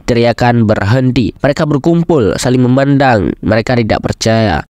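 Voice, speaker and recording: A man's voice: mid-range voice, a little mellow, dry recording. A man reading scripted news narration in a formal, explanatory manner.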